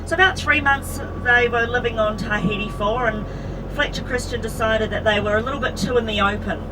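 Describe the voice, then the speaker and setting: A woman's voice: on the high side, fairly quick. New Zealand woman guiding a bus tour. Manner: chatty storytelling with casual asides. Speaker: tour bus driver and guide. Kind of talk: guided tour commentary. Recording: distant voice under loud bus noise. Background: vehicle engine and road noise.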